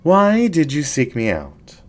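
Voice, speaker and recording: A man voicing a seductive, haughty fox incubus ringmaster in a story, with a drawn-out, theatrical, teasing delivery. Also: fairly high pitch, wildly swooping pitch, pitched above the performer's own voice, heavily acted. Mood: commanding.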